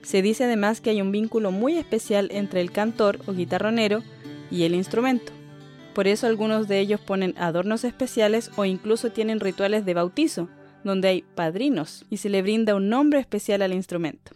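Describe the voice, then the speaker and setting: A woman narrates a lesson at a clear, measured pace. Her delivery is scripted and explanatory, and faint music plays behind her voice. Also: mid-range voice, darkish tone.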